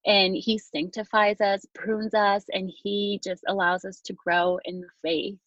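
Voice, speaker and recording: A woman's voice: mid-range voice, little pitch movement, measured pace, fairly bright tone, no room sound, muffled recording. A woman in her thirties from Venezuela, a podcast guest telling her story casually with plenty of fillers and restarts. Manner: chatty, many fillers and restarts. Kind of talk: casual conversation, personal story.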